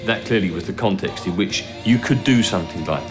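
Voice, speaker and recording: A man commentating in a measured, analytical way for a documentary. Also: low voice, over loud music.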